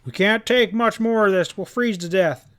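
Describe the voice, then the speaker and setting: A man's voice: sits high, moderate pace, voice pushed up. Man playing a weary country father, voice raised. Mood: alarmed. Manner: raised, shouting over wind. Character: weary, practical country father calling over a storm.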